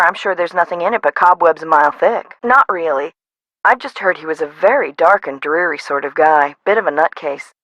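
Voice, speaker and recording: A woman's voice: on the low side, fairly bright tone, dry recording, rough recording. An actress voicing a frazzled, no-nonsense woman who runs an amusement park in a video game, conversational and dry. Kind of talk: scripted video game voice acting.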